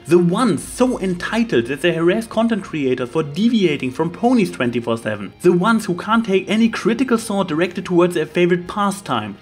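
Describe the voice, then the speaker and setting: Higher-voiced man doing opinionated commentary. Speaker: video host. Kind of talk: scripted opinion commentary voice-over. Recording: music behind the voice. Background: background music.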